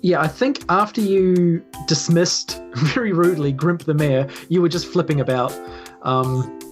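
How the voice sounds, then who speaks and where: Low-voiced New Zealand woman over music. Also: a little slow, a little mellow. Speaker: game master. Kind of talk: casual improvised tabletop game narration. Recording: music playing behind the voice. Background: background music.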